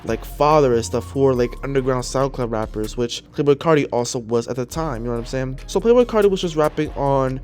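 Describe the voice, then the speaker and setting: A young man chats loosely about rap history, keeping his voice a bit lowered in a hotel room. His pitch sits in a mid range, and faint background music plays under his words. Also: expressive, brisk, few pauses. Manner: laid-back, keeps saying "you know what I'm saying"; speaking a bit lower and quieter than usual. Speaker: music commentary YouTuber. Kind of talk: casual talking-head commentary.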